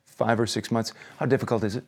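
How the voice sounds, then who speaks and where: A man's voice: fairly low pitch, steady tone, quick pace. American man hosting an interview. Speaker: interview host. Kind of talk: interview questioning.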